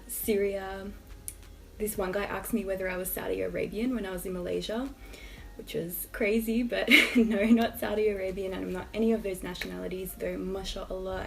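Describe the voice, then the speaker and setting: A young woman with an Australian accent, chatting casually to her viewers. Her talk wanders and hedges. Her voice has a gravelly, creaky edge at a mid pitch. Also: darkish tone, low-quality recording. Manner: chatty, rambling, hedging with "I don't know". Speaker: vlogger. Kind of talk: casual talking-to-camera vlog.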